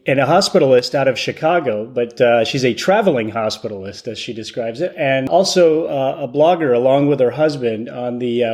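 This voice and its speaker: A man hosting a podcast, introducing topics and interviewing guests in a somewhat halting way. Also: medium pitch, darkish tone. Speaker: podcast host, physician.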